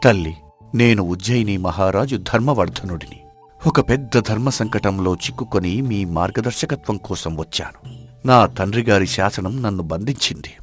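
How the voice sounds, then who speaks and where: A man acts out an earnest, righteous king from a folk tale. His voice sits very low, and his speech is solemn, formal and respectful. Faint music plays behind him. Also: normal speed, natural voice. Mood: pleading, troubled. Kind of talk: Telugu audio folk tale acting.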